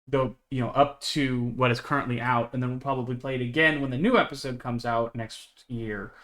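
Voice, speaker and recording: A man's voice: medium pitch, a bit bright. A young man streaming a game, chatting casually with his viewers and rambling with lots of fillers and restarts. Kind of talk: casual chat with a live stream chat.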